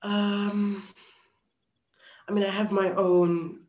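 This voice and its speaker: A woman's voice: mid-range voice, level tone, drawn-out words, darkish tone. A woman in her thirties from Zambia, an actor giving thoughtful interview answers over a video call. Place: small plain-walled room indoors. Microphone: computer mic on video call. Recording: roomy, echoey video call.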